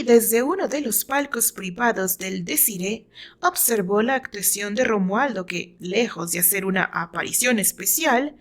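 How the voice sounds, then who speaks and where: A woman's voice: fairly high pitch, fairly bright tone. A woman with a Mexican accent reading her own fiction aloud as dramatic scripted narration.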